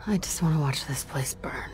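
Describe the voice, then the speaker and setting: A woman's voice: low-pitched, a little mellow. An actress playing a cold, ruthless game heroine, hard and controlled in her delivery. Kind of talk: video game dialogue acting.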